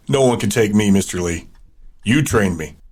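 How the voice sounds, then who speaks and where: A lowish voice carries a confident fighter and lieutenant played by a man. He is dry, clipped and sure of himself, as in a dystopian audio drama. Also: animated, a little slow, a little mellow. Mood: cocky, measured. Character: confident, cautious male fighter and military lieutenant. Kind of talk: post-apocalyptic audio drama acting.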